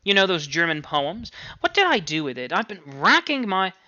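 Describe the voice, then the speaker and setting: A man's voice: high-pitched, very animated, moderate pace, bright, forceful tone, no room sound, lo-fi sound, pitched above the performer's own voice, heavily acted. A man voicing a cool young married daughter in an audiobook story, languid and clipped, patient but irritated. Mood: evenly patient. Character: cool, exasperated young married daughter, placating her mother.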